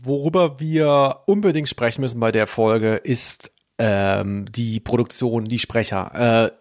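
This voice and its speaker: A man's voice: mid-range voice, unhurried. A man co-hosting a podcast and talking casually about audio dramas. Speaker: podcast host.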